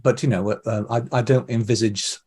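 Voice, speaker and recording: A man's voice: mid-range voice, fairly even intonation, brisk, a little mellow, breathy edge. A man giving hesitant, discursive explanations as the guest in a casual interview. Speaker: interview guest, audio drama producer.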